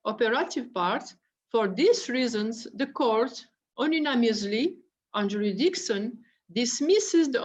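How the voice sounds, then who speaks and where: A woman judge whose first language is French, formally reading out a court judgment in measured legal clauses. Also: a little high. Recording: dull, muffled lo-fi audio.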